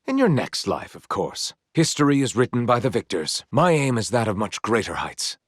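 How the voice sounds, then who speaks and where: A man's voice: medium pitch, wildly swooping pitch, normal speed, breathy edge, no echo, studio quality. A man playing a confident, proud naval commander in a video game, speaking in formal, heroic phrasing. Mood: cool, confident. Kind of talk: video game character battle lines.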